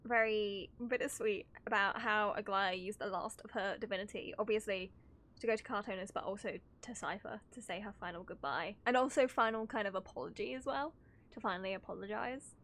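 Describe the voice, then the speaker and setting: A woman livestreaming a game, reacting in an animated, giggly way. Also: medium pitch, fairly quick. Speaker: streamer. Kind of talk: live game reaction commentary.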